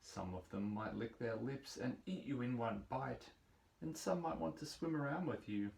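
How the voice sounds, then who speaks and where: A man reading a rhyming picture book aloud for young children in a measured, playful storytelling manner. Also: medium pitch, gravelly, darkish tone. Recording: roomy echo, distant microphone.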